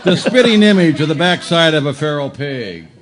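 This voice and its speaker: A man playing a deadpan police detective in a live old-time radio drama parody, narrating his case in clipped procedural lines. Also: on the high side, normal speed, crowd noise, lightly acted. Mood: dry, brisk. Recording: noisy live shipboard stage performance.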